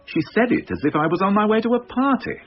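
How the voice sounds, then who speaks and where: A man's voice: high voice, lively intonation, fast, dull audio. A man playing a worried, grumbling police inspector in a radio drama, dry and exasperated in manner. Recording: band-limited radio drama with music.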